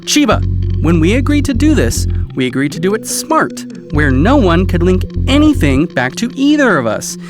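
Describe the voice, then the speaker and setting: Casting: male actor as ambitious crooked lawyer, stern and scolding, animated delivery, audio drama, music underneath. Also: high-pitched, normal speed. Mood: irritated, scolding. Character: scheming, ambitious lawyer, an adult man, irritated and defensive.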